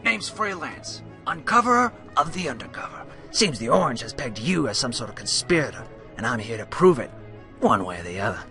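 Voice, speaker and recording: A man's voice: medium pitch, big pitch swings, brisk, pushed and bright, soft background music. A man in a radio play voicing a pompous, menacing pony reporter who digs up dirt ruthlessly. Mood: smug, accusing. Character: ruthless, self-important male pony muckraking reporter, villainous.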